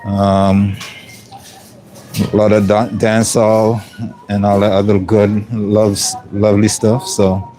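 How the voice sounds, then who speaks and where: A middle-aged man from Jamaica with a mostly Canadian accent and a hint of Jamaican, chatting casually while cooking on a livestream, relaxed and rambling with many ums. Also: lowish voice, slow, pauses often, background noise.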